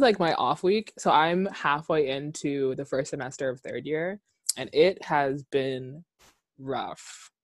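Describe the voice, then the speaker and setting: A woman's voice: deep voice, no room sound. A woman in her twenties from the Bahamas, co-hosting a podcast in a casual, chatty way, laughing easily. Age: 20s. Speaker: podcast co-host. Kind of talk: casual chat. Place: her own room.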